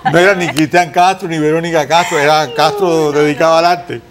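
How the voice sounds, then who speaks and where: A man's voice: on the high side, fairly even intonation, brisk, a crowd in the background. An older man hosting a TV interview in a studio on a lapel mic, with a joking, irreverent manner. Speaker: talk show host. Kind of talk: humorous TV interview banter. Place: indoor TV studio at a desk.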